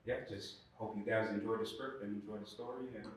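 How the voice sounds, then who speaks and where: Brief: male co-producer, flat even delivery, casual thanks, distant and very echoey. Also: fairly low pitch, brisk, noisy background. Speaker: film co-producer. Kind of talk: casual thank-you speech. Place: rehearsal studio. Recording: very echoey room, distant, group chatter.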